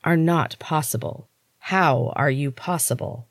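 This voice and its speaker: A cruel, condescending man from an audiobook tale, acted out by a woman reader. The delivery is soft and taunting, with smug menace. The sound is dry, with no room around it. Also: low-pitched, unhurried, dry recording. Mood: amazed menace. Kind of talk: audiobook character dialogue.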